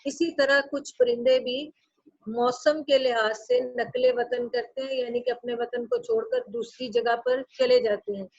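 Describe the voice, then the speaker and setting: A woman teacher with a Pakistani Urdu accent reads a text to her class and explains each line in simpler words, jumping to a high pitch when she stresses a point. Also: unhurried, darkish tone, no room sound. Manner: reads a line aloud, then restates it in plainer words. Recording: dull, muffled sound.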